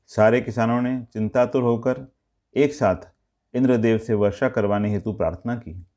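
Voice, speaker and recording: A man narrating a scripted moral story as a storyteller, in measured, deliberate short phrases. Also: mid-range voice, steady tone, a little mellow.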